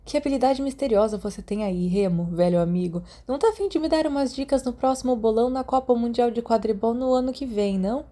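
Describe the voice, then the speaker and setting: A Brazilian woman reading fan fiction letters aloud as an audiobook narrator, in an expressive, conversational way. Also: a little high, quick pace, few pauses.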